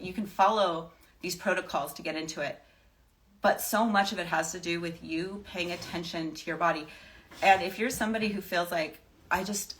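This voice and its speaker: A woman with a slightly low voice talks off the cuff, as if hosting a live chat for followers. She gives advice in an emphatic, reassuring way, often restating a point for weight. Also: a bit bright. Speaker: live stream host giving wellness advice.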